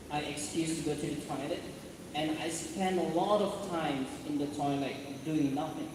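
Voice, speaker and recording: A man with an Indonesian accent tells a personal story to a student audience, halting now and then and checking in with rhetorical questions. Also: fairly high pitch. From born in South Sulawesi, grew up in Malaysia. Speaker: guest speaker. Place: large echoey room at an event. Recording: very echoey hall, distant mic, loud noise.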